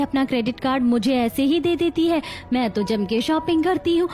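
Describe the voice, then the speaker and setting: Young, wheedling, spiteful sister-in-law played by a woman, high voice, moral-story drama. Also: a little mellow, lightly acted. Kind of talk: acted Hindi family story dialogue.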